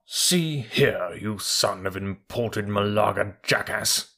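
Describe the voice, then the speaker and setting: A man acts out a big proud troop horse from an animal storybook. He sounds haughty and well-mannered, with the pitch lifted above plain narration. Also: very animated, moderate pace, fairly bright tone, slightly rough, full character voice. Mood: indignant.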